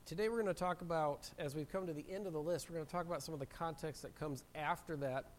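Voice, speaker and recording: A male pastor preaching a sermon in a church, hoarse from a cold and often clearing his throat. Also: fairly high pitch, quick pace, slight background noise. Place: church sanctuary. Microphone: handheld mic.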